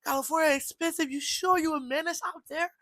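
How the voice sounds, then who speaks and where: A pushy middle-aged aunt with a Liberian accent, put on by a young woman fires off blunt, insistent questions. The voice sits fairly high and bright, with a forceful, tense edge. It is close and dry, with no room sound. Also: fairly even intonation, fast, no echo. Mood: pressing.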